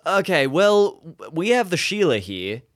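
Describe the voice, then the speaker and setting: A man's voice: sits high, very animated, unhurried. A man playing in an improvised audio drama, chatting casually and thinking aloud as he role-plays.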